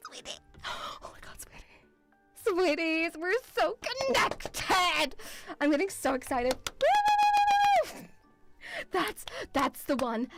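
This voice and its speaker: A woman with a mixed European accent touched by Scottish, chatting casually as a livestream host in an excitable, rambling, sweary way. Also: sits high. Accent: mixed European with Scottish influence. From Lithuanian-Russian background, partly grew up in Scotland.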